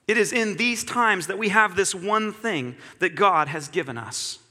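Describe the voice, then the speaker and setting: Bright-voiced preacher man in a reverberant hall. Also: high-pitched, expressive, a little slow. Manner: earnest, reflective preaching with repeated phrases. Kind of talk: sermon.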